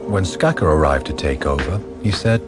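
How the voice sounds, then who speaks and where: A man narrating a radio drama from a script in a measured, factual way. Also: very deep voice, lively intonation, loud music behind the voice.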